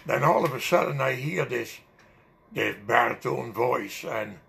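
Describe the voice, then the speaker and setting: Gravelly older man telling a memory. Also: medium pitch, lively intonation, a little slow, a bit bright, a little hiss or hum. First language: not English. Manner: rambling, vivid recollection with fillers. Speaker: interviewee. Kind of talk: oral history storytelling.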